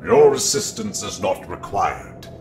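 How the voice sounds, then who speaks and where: A man's voice: deep voice, wildly swooping pitch, creaky, background noise, full character voice. A man playing an ancient, coldly imperious evil entity who speaks formally and with menace in a horror drama. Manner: formal, menacing, deliberate speech. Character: ancient dark male entity seeking a human body, coldly imperious. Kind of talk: fantasy horror web drama acting. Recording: echoey, music behind.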